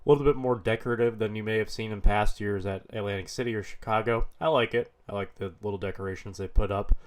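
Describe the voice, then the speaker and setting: A man with a head cold, slightly hoarse, narrating a vlog in a relaxed, matter-of-fact way. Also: lowish voice, quick pace. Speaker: card dealer vlogger.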